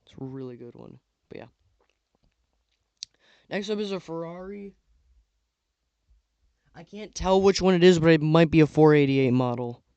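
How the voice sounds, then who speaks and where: A young man giving casual tier-list commentary on toy car sets, rambling with lots of fillers and a voice he says is going hoarse. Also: on the high side, normal speed, long pauses, a little mellow, no echo, dull audio. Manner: rambling, filler-heavy, trailing off. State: losing his voice. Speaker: YouTuber ranking toy sets.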